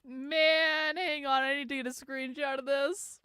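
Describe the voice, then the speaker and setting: A woman's voice: high voice, little pitch movement, measured pace, bright, forceful tone, no room sound, clean studio sound. A middle-aged woman with a Vermont accent touched with Boston, hosting a live stream and reacting bluntly to a documentary. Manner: blunt, swearing, incredulous. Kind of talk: casual reaction commentary.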